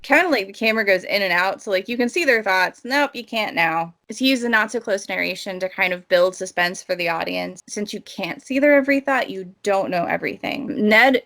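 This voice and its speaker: A woman's voice: mid-range voice, brisk, few pauses, bright, forceful tone. A woman co-hosting a casual book discussion, conversational and playfully sarcastic. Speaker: podcast co-host.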